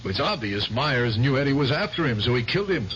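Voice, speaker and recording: An actor playing a cautious war veteran in a 1970s radio drama, reasoning warily. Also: male voice, medium pitch, big pitch swings, brisk, fairly bright tone. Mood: wary. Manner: wary, reasoning. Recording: dull 1970s radio broadcast, noise behind.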